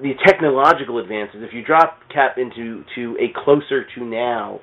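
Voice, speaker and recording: A man's voice: medium pitch, normal speed. A man in a casual comics discussion, talking in a rambling way and often saying "you know.". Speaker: podcast guest. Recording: tinny phone-like audio.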